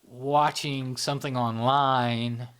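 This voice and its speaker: A man's voice: medium pitch, steady tone, slow pace, a bit bright, clean tone. A man hosting a podcast, explaining ideas in a loose, rambling way with many fillers and tag questions. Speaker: podcast host. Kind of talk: casual explanatory chat.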